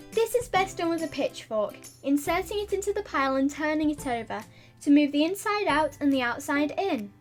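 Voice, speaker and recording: A woman reading a scripted how-to voiceover, giving clear step-by-step instructions as the narrator of an instructional video. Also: high-pitched. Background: background music.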